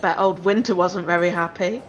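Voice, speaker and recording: A woman's voice: lowish voice, fairly even intonation, fairly quick, dull audio, lightly acted. A woman playing a cynical, foul-mouthed hotel worker in an audio drama, blunt and sarcastic. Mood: teasing.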